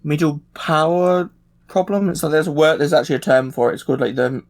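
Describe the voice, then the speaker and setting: A man's voice: on the high side, steady tone, a little fast, vocal fry. A man chatting casually as a podcast panelist, hesitant and thinking aloud as he goes. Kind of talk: casual discussion.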